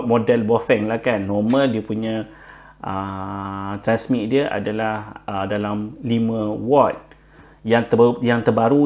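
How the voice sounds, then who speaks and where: Male Malaysian presenter, mid-pitched, unscripted how-to talk, filler-heavy and code-switching with English. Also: darkish tone. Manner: halting, frequent filler words and repetition. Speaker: presenter giving buying tips. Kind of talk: informal explainer, unscripted talk.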